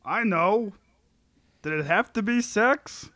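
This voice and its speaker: A man reads the part of a petulant sitcom husband who justifies himself. The voice is high for a man and closely recorded, with a dry sound and an amateur table-read delivery. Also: slow pace, bright, forceful tone, dry recording, heavily acted. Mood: petulant, flippant.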